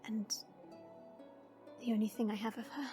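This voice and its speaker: A woman playing a gentle dragon princess in an audio roleplay, speaking in a measured, formal and tender way. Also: a little high, flat delivery, music in the background, lightly acted. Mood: wistful, tender. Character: gentle dragon princess, proud gardener, softly regretful.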